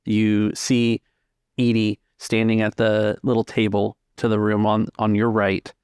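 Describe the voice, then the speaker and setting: A man narrating a tabletop game as game master, descriptive and measured, pausing mid-phrase. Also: fairly low pitch, completely flat delivery, a little mellow, clean tone, no room sound, studio quality. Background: music.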